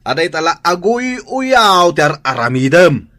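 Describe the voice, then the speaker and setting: An actress as a feisty, animated village woman. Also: lowish voice, measured pace. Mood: scolding. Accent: Ilocano. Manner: melodramatic, scolding. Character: feisty, resentful village woman who feuds and scolds. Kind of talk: comedic radio drama acting.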